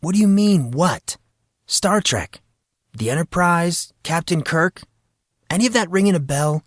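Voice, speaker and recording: Man voicing a high-pitched, bewildered teenage schoolboy. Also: expressive, measured pace, no echo, studio quality. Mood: curious, insistent. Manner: eager, questioning. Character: naive, bewildered fourteen-year-old schoolboy.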